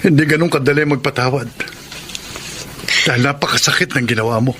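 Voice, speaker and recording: A man's voice: mid-range voice, brisk, pushed and bright, a little hiss or hum, lightly acted. A man playing a hurt, accusing husband in a radio melodrama, reproachful and emphatic. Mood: bitter, angry. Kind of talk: melodramatic radio drama acting.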